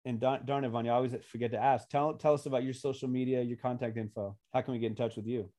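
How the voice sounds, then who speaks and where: A man hosting a podcast interview, supportive and warm toward his former student, with quick short replies. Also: mid-range voice, fairly even intonation. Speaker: podcast host, former teacher. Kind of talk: interview chat.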